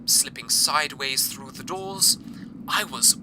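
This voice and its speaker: A man playing an earnest, awed broadcaster from a fantasy city, narrating a scripted radio drama in a measured, wistful way. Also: on the high side, noisy background. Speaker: radio host.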